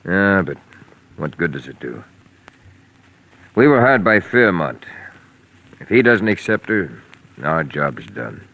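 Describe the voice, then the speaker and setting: Skeptical American gumshoe, laconic, very low voice, muffled 1940s radio crime drama. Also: male voice, very animated, slow pace, vocal fry, pauses often, fairly bright tone, full character voice. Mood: skeptical, resigned. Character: laconic, weary hired investigator, skeptical sidekick. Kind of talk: 1940s radio mystery acting.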